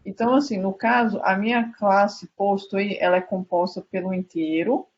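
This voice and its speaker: A woman's voice: medium pitch, steady tone, moderate pace. A woman with a Brazilian Portuguese accent, a student talking casually in a live class and thinking aloud. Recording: dull online call, faint noise.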